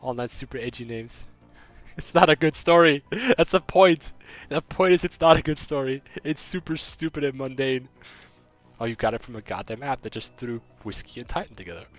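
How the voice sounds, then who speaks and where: A man's voice: mid-range voice, lively intonation, moderate pace, no room sound, faint music behind. A young man from Germany with a slight German accent, chatting casually on a game stream and rambling through a story with many restarts. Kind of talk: casual stream chat, reading chat.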